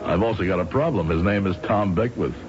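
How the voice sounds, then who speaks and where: A man's voice: deep voice, brisk, over music, natural voice. An actor playing an uneasy, ambitious married executive and ex-Army man in an old-time radio drama. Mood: rueful, wry. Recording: muffled old radio recording.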